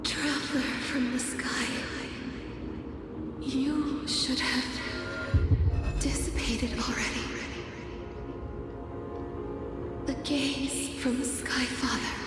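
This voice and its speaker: A woman playing an ancient, weary titan of time in a video game, speaking in solemn, breathy, halting fragments. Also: high-pitched, fairly even intonation. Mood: solemn, cryptic. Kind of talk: dramatic game voice acting. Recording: heavily echoing voice with music behind. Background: music.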